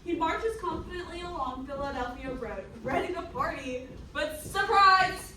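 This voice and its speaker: Bright high-pitched woman narrator, theatrical. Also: animated, moderate pace. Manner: theatrical, sardonic asides. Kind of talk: comic scripted history narration. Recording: roomy, distant mic, faint noise.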